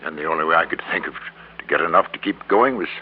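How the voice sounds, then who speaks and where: An actor playing a greedy, defeated middle-aged businessman in an old radio drama, confessing in a halting, resigned way. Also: deep voice, natural voice. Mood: bitter, desperate. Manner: halting, resigned, repeats words for emphasis. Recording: crackly, narrow-band old radio recording.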